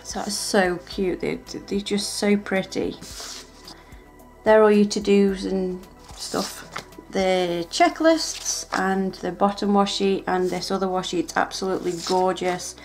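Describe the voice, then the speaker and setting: A woman's voice: medium pitch, a little slow, faint music behind. A woman chatting casually as she shows off planner stickers, gushing and restarting her sentences. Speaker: planner vlogger. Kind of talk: casual show-and-tell narration.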